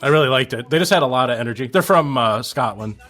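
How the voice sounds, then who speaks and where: A man's voice: medium pitch, expressive, fast talker, a bit bright. A middle-aged man co-hosting a podcast, chatting casually about music. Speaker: podcast co-host.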